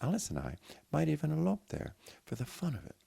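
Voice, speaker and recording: Ailing French son, acted by a male reader, nonchalant storybook wit, gravelly, lively pitch. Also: fairly quick, exaggerated voice. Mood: wry, reassuring.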